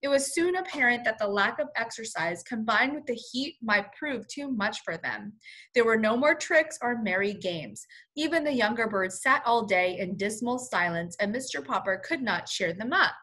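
A woman's voice: on the high side, animated, normal speed, pushed and bright, poor audio. A woman teacher reading a children's story aloud expressively, stopping to explain words in simple terms. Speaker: first-grade teacher.

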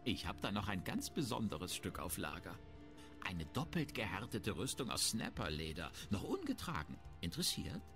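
A man's voice: low-pitched, huge pitch swings, moderate pace, exaggerated voice. A man playing a shrewd, grumbling, self-important town merchant in a fantasy video game. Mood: salesmanlike. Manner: haggling, disdainful, self-important. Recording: music behind the voice.

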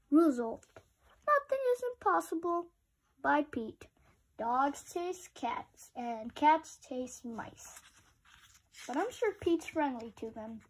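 A woman reading a picture book aloud, adding informal, chatty, childlike asides to young listeners. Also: sits high. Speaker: read-aloud host.

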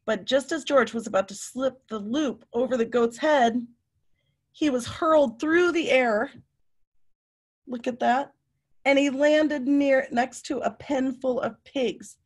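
A woman in her fifties reading a story aloud to young children, with animated, drawn-out emphasis and questions to her listeners. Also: high-pitched. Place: room at home.

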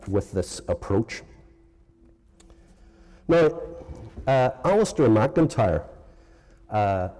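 A man's voice: lowish voice, dark tone. Northern Irish man lecturing in a hall. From Northern Ireland. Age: middle-aged. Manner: hesitant, many ums and uhs, self-correcting. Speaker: philosophy professor giving a lecture. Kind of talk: informal conference lecture. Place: large conference hall. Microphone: lectern microphone. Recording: long hall reverb.

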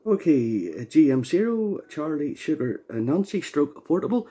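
Male ham operator, husky and soft-toned, casual, unhurried delivery of call signs and short reports. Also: a little high, very animated. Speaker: amateur radio operator. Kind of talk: casual ham radio contact. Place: indoor radio station, recorded near the equipment.

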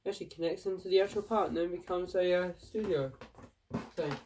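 A man's voice: sits high, steady tone, fairly quick, distant mic. A young man talks casually through a stuffy nose, rambling and filling gaps with ums. He sits back from the camera mic, and a faint hiss runs under his voice in a cluttered indoor room. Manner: chatty, rambling, frequent fillers. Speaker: vlogger. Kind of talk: casual unboxing vlog.

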